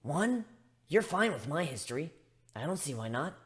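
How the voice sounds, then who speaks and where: A man's voice: a little high, very animated, normal speed. A man voicing a blunt, unbothered female griffin in a story reading. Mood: nonchalant. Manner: casual, dismissive.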